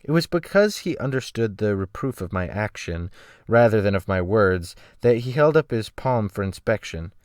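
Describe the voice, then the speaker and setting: A man narrates a literary audiobook in a fairly low, resonant voice. The delivery is scripted and dramatic. The recording is close and very dry. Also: animated, moderate pace, darkish tone, dry recording. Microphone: close USB desktop microphone.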